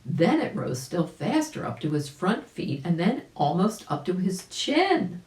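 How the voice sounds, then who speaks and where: A woman's voice: lowish voice, very animated, unhurried, darkish tone. A woman narrating a children's story, explaining things and speaking directly to young listeners. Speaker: volunteer storybook reader. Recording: echoey room.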